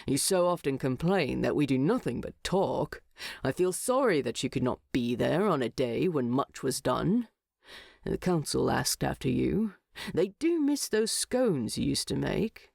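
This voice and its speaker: A woman voicing a teasing, sarcastic dwarf king, loud and mock-innocent, in an audiobook of a fantasy story. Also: a bass voice, big pitch swings, measured pace, gravelly, dry recording, studio quality, voice pushed down, heavily acted. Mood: mock cheerful. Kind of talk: audiobook character voice.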